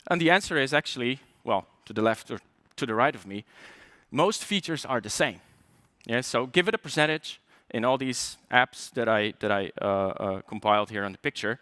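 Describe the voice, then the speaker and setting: A man's voice: medium pitch, lively intonation, moderate pace, bright, forceful tone, no room sound. A man from Poland with a light Polish accent, giving a conference tech talk in a chatty way, with joking asides, fillers and restarts. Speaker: conference speaker.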